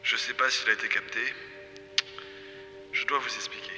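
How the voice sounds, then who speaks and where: An actor playing a confused, desperate amnesiac explorer recording halting, fragmented log entries in an audio drama. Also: male voice, lowish voice, steady tone, faint music behind. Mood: hesitant, pensive. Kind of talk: French audio drama acting, recorded journal. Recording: echoey, long reverb, loud noise.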